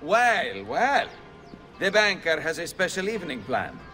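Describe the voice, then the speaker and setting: A bossy, gloating henchman in a game cutscene. His voice is fairly high and animated. There is faint music behind it. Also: unhurried, heavily acted. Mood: gloating, commanding. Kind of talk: video game cutscene acting.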